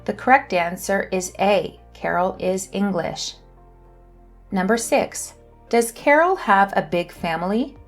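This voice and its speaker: Slow, careful woman teacher. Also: medium pitch, soft background music. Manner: slow, careful reading of questions and answers. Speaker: English teacher and channel host. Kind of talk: scripted lesson narration.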